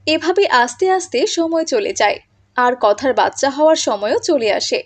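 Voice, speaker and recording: A woman's voice: high voice, lively intonation, moderate pace. A woman reading scripted narration for a cartoon moral story.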